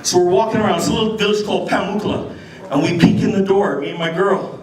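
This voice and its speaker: A middle-aged Canadian man telling a story live to an audience in an animated, slangy, informal way. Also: high-pitched, quick pace. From Canada, near Detroit on the Canadian side. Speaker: b-boy storyteller. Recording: echoey hall from far off, crowd around. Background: audience crowd and laughter.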